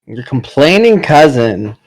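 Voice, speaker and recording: A man's voice: medium pitch, very animated, slow pace, dry recording, poor audio. A man playing a party game, reading narration aloud and chatting.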